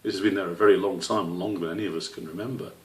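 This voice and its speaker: Man playing a deep-voiced, wise old father squirrel. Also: fairly even intonation, lightly acted. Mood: wise, instructive. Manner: measured, gently questioning. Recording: roomy, slightly distant microphone.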